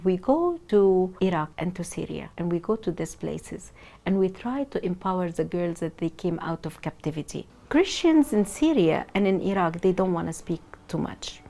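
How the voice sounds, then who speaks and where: Earnest Egyptian-born woman, mellow voice. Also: fairly low pitch, lively intonation, moderate pace, creaky. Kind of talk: interview.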